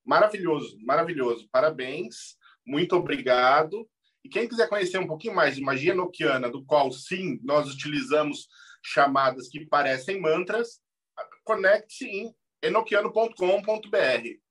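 A Brazilian man with a somewhat high, husky voice. He explains in an earnest, appreciative way, like a teacher giving casual closing thoughts on a panel. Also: expressive, normal speed, fairly bright tone.

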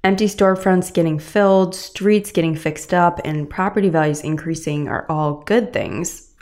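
A woman hosting a podcast, reading a measured scripted introduction and then asking thoughtful interview questions. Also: low voice, a little mellow. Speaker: podcast host.

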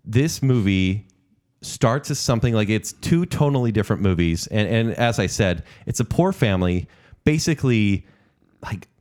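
A man's voice: lowish voice, lively intonation, normal speed, a little mellow. A man co-hosting a casual movie review podcast, enthusiastic and teasing. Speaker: podcast co-host.

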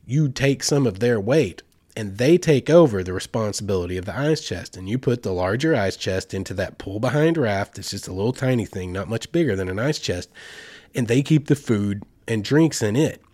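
A man's voice: on the low side, expressive, normal speed, a little mellow, no room sound, studio quality. A man congested with a head cold gives casual, rambling instructional talk as a host, in a folksy, informal way with slang. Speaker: host giving outdoor trip advice.

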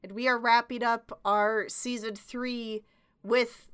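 A woman's voice: on the high side, fairly even intonation, slow pace, fairly bright tone, clean tone. A young woman with a stuffy, congested voice from a cold, co-hosting a podcast in a chatty, self-mocking way with casual swearing. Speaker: podcast co-host.

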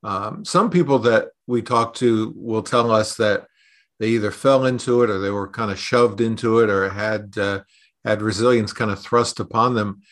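A man's voice: fairly low pitch, normal speed, fairly bright tone. A middle-aged man, a consultant and podcast host, speaking about his work on a show. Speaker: podcast host, consultant being interviewed as guest. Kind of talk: scripted podcast introduction and conversational interview answers.

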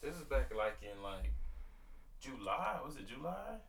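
A man's voice: medium pitch, very animated, a little slow, gravelly, fairly bright tone, echoey room, distant mic, a little hiss or hum. A man in his early twenties, talking casually about his marriage and faith, with lots of fillers and loose grammar. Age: 20s. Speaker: podcast co-host. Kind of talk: casual faith-based couple talk.

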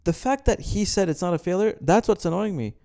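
Young man, American-sounding accent, podcast co-host, opinionated sports talk, mellow and smooth. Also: high-pitched, a little fast. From Kuwait. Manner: opinionated, animated when ranting. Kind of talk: casual sports chat.

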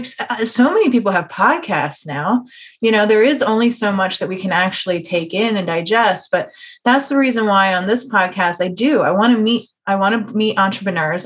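A woman hosting a conversational podcast interview, talking at length in an earnest, encouraging way. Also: medium pitch. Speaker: podcast host.

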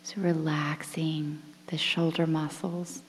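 A woman guiding a relaxation meditation in a soothing, breathy, near-whispered voice with measured phrases and pauses. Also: deep voice, little pitch movement, a little hiss or hum. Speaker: guided meditation instructor. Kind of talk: guided relaxation meditation, softly spoken. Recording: long reverberant hall-like echo.

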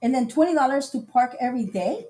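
A woman's voice: high-pitched, lively intonation, quick pace, warm tone. A woman from Costa Rica, a podcast guest, chatting casually on a livestream and acting out the dialogue in her stories. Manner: chatty storyteller who acts out quoted dialogue.

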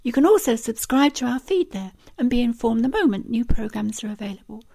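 Podcast host with a creaky, higher voice. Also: female voice, lively intonation, dark tone. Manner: clear, measured read of web addresses. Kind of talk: scripted podcast outro.

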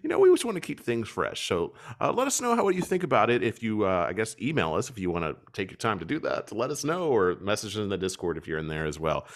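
A man's voice: lowish voice, fast talker, hardly any pauses. A man hosting a podcast in casual chat, telling stories animatedly and laughing often. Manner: laughs often, animated storytelling with playful put-on voices. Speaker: podcast host.

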